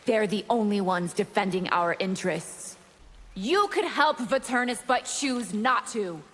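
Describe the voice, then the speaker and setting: A woman's voice: medium pitch, animated, unhurried, fairly bright tone, in a large hall. A woman voicing a proud, hungry, threatening vampire in a video game, defiant and disdainful. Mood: defiant, menacing. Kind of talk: video game fight-intro dialogue.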